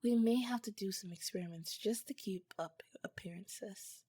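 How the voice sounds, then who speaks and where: A woman voicing a tender, curious alien scientist in an ASMR romance roleplay, speaking softly and formally in a breathy, whispery voice with some halting phrases. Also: medium pitch, no room sound, clean studio sound, natural voice. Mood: happy, reflective. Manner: soft, halting, formal wording. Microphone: close, dry mic.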